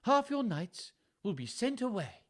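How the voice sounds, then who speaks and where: A man's voice: high voice, very animated, a little slow, pitched above the performer's own voice. A man voicing a cold, scornful grown daughter in a storybook reading. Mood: scolding.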